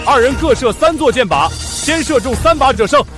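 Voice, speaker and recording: A man playing an excitable figure of an ancient imperial court in a costume short drama, speaking in a declamatory way. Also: very high voice, moderate pace, faint music behind, exaggerated voice. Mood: formal, announcing.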